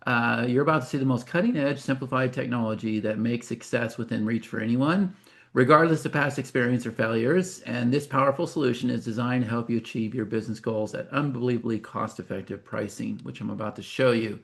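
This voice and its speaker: A man's voice: medium pitch, brisk, vocal fry, a bit bright. A man of fifty giving an informal sales presentation as a webinar host, talking conversationally with folksy asides.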